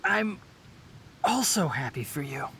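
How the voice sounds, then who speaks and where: A man playing a wounded, weary young man in a video game, breathy and sighing, dry but grudgingly kind. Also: a little high, big pitch swings, slow, pushed and bright, a little hiss or hum, heavily acted. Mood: weakly grateful. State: weak from injury, whispery.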